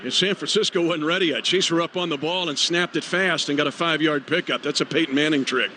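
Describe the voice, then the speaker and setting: A man's voice: fairly high pitch, fast, sharp tone. An American man calling live football play-by-play on the radio in clipped, urgent phrases. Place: stadium broadcast booth. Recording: noisy live stadium radio broadcast.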